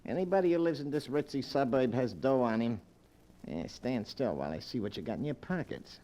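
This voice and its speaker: An actor playing a slangy American street hoodlum in a 1940s radio crime drama. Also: male voice, mid-range voice, animated, brisk, warm tone, natural voice. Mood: menacing. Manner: slangy, tough-guy talk. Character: gruff, slangy street stick-up man. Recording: old 1940s radio recording.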